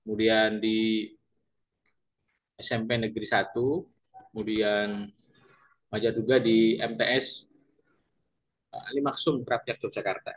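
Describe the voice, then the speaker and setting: A man's voice: lowish voice, flat delivery, a little slow, pauses often. A man in his thirties, a university lecturer with a Banyumasan ngapak Javanese accent, introducing himself to his class in a chatty way with many fillers and repeated words. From Central Java, Indonesia. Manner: lecturer addressing students politely.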